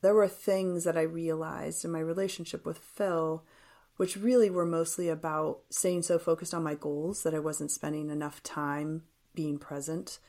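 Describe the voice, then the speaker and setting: Female interview guest, fifties, lower register with strong vocal fry, earnest and explanatory delivery. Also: flat delivery, normal speed, a little mellow. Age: 50s. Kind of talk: reflective interview talk.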